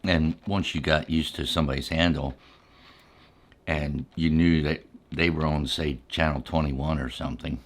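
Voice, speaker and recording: A middle-aged man telling casual stories in an ASMR style, unhurried and halting as he reminisces. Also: extremely low pitch, steady tone. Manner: unhurried, halting, reflective recollection. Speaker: ASMR storyteller. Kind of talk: ASMR casual storytelling while eating.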